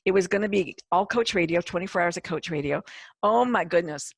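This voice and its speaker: A woman's voice: lowish voice, fairly quick, creaky, husky, dry recording. A woman who founded a radio network, talking about her shows in an enthusiastic, chatty way and laughing often. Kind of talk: casual conversation.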